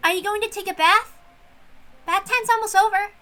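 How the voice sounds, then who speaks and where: A woman voicing an excitable, playful young servant with a girlish manner in a visual novel dub, over music. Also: very high-pitched, moderate pace, pitched above the performer's own voice. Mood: teasing, cheerful.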